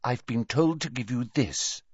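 Man voicing a dreamy, high-pitched eccentric schoolgirl. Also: lively intonation, unhurried, breathy edge, dry recording, muffled recording. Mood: airy. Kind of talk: audiobook character voice.

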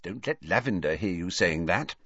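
A man's voice: low-pitched, lively intonation, moderate pace, a bit bright, dry recording, highs cut off, heavily acted. A man voicing an earnest, bossy but caring teenage girl student in an audiobook. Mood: brisk.

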